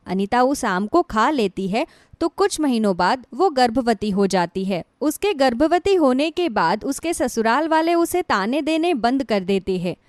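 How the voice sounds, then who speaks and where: Female narrator for scripted children's moral stories: high pitch, dry studio sound. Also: a little mellow, dry recording. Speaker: storyteller.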